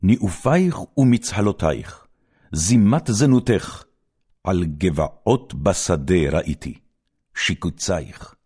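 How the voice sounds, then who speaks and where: Low male voice, formal scripture narration, solemn, measured, declamatory, clearly phrased. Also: lively intonation, a little mellow. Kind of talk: formal scripted Bible reading.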